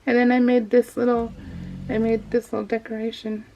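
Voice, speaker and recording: Woman, mellow soft-edged tone, casual craft vlogger, gushing and self-interrupting, lo-fi home recording. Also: on the high side, level tone, a little fast. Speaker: craft video host. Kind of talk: casual chatty craft vlog, unscripted. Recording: dull lo-fi home recording, faint noise.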